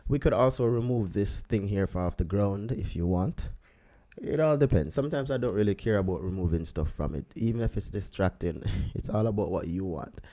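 A man with a low, mellow voice walks through a photo edit on screen. He talks in an easy, unhurried way, thinking aloud as he clicks through each step. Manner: relaxed, thinking aloud while working. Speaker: photographer teaching photo editing. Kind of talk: screen-recorded software tutorial.

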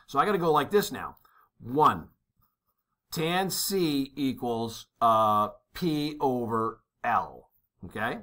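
A man's voice: fairly high pitch, sharp tone. A man teaching a worked problem at a desk, casual and thinking aloud, often checking in with "okay?". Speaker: maritime exam instructor. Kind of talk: tutorial over a worksheet. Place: indoors at a desk.

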